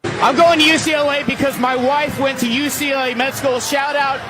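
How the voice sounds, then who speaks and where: Male comic, very high voice, short lines to an audience, loud music and crowd. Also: steady tone, brisk.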